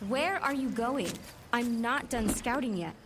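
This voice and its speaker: A woman's voice: fairly high pitch, measured pace, distant mic, a little hiss or hum, close to an everyday voice. A woman playing a sassy, impatient, bossy sci-fi scientist in a fan audio drama, with a pedantic way of talking. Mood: snippy, annoyed. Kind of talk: acted dialogue in fan fiction audio drama.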